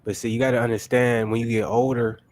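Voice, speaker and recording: A man's voice: medium pitch, level tone, fast, darkish tone. A man in his late twenties hosting a casual chat, laid-back and chuckling between remarks. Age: late 20s. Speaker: host.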